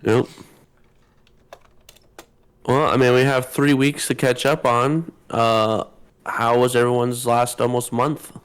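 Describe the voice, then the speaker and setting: A man co-hosting a podcast in casual chat, his voice slightly hoarse from a sore throat. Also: mid-range voice, little pitch movement, takes it slowly, pauses often. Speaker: podcast co-host.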